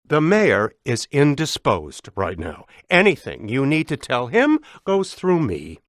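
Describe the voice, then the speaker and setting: A man's voice: mid-range voice, huge pitch swings, dry recording, studio quality. An actor playing an officious, indignant male city bureaucrat in a video game, pompous and precise in his delivery. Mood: smug, stern. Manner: pompous, clipped, deliberate enunciation.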